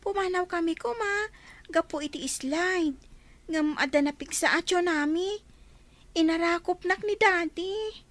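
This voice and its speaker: A woman's voice: very high voice, unhurried, pauses often, fairly bright tone, dry recording. An actress playing a sad, timid little girl who misses her mother, in a radio drama. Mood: sorrowful, timid.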